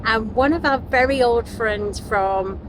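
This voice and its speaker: A woman's voice: on the high side, measured pace. A middle-aged British woman chatting casually as a travel vlogger. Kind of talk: casual vlog chat. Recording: noisy vehicle and outdoor ambience.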